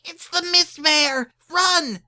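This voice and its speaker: A woman voicing a brash, sceptical young orange filly pony in an animated story reading. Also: very high voice, takes it slowly, pitched above the performer's own voice, heavily acted. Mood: panicked. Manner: scoffing, brash.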